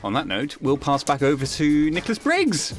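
A man's voice: on the high side, wildly swooping pitch, moderate pace, faint music behind. A man interviewing guests casually on a podcast, jokey, with a somewhat hesitant way of phrasing.

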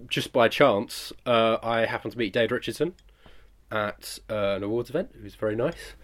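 A man's voice: mid-range voice, a little mellow. A British man in his twenties being interviewed as a producer, chatting casually in a modest, rambling way and correcting himself. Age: 20s.

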